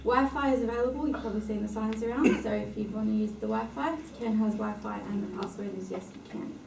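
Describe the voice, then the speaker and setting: A woman hosts a tech meetup, briskly reading housekeeping notes and introducing the next speaker. Her voice is a little high and gravelly with fry. She sounds far from the mic in an echoey room with steady background noise. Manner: brisk, reads housekeeping notes with many "uh" fillers. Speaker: meetup host.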